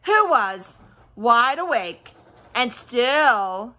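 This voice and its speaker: A woman's voice: on the high side, very animated, extremely slow, sharp tone. A woman reading a children's story aloud with expression, breaking off for chatty asides and questions to her young listeners. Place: indoors at home by a fireplace.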